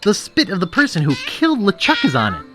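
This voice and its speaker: An actor playing an eager young would-be pirate in an adventure game, earnest and boyish with a wry streak. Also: male voice, sits high, wildly swooping pitch, fast. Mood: salesman-like. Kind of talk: animated adventure game dialogue. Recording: game music behind the voice.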